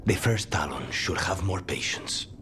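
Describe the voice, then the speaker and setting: An actor playing a brooding, courteous but impatient assassin in a fantasy game, with a breathy, half-whispered voice. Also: male voice, low voice, animated, unhurried, pushed and bright, slight background noise, exaggerated voice. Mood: grave, menacing. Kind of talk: dramatic video game acting.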